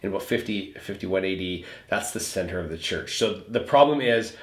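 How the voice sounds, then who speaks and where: A man's voice: low-pitched, lively intonation, fairly quick. Guy pastor chatting through a sermon recap, earnest explainer, bit of room echo. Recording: roomy echo.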